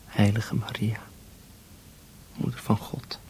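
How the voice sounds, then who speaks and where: An actor playing a fervent young priest praying with yearning devotion in a Dutch radio drama, breathy, halting and half-whispered. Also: male voice, on the low side, little pitch movement, darkish tone, a little hiss or hum, rough recording. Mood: rapt, yearning.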